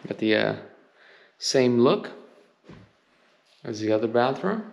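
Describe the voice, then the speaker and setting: A man's voice: mid-range voice, very animated, slow, vocal fry, darkish tone, dull audio. Upbeat man touring an empty echoing house. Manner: enthusiastic, pointing out details. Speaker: real estate agent giving a home tour. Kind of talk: casual walkthrough narration. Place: empty house, large bare rooms. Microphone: handheld camera. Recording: long reverb of empty rooms.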